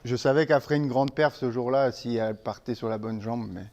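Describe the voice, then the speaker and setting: A middle-aged man from Normandy, a horse trainer, speaking in a relaxed, halting way with restarts in a casual interview. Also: medium pitch, background noise. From Manche, Normandy, France.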